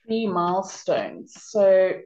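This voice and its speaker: A South African woman, a guest in a casual interview, giving earnest, energetic advice. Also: mid-range voice, slow, roomy sound, dull audio, slight background noise.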